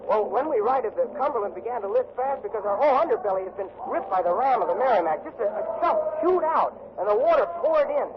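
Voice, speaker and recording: An actor playing a shaken young navy seaman who survived a shipwreck, speaking haltingly in a 1940s radio drama. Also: very high-pitched, animated, crowd noise. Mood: agitated, shaken. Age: young adult. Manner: halting, repeating, plain-spoken. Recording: muffled, noisy 1940s radio recording.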